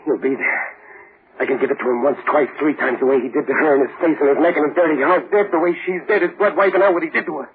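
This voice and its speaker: A vengeful, obsessive condemned man from a 1940s radio horror drama, played by a male actor. His voice is high for a man and his talk is tough and terse. The recording is thin and heavily hissy. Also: steady tone, quick pace, narrow, tinny audio, natural voice. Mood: seething. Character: vengeful, desperate condemned man raving about revenge.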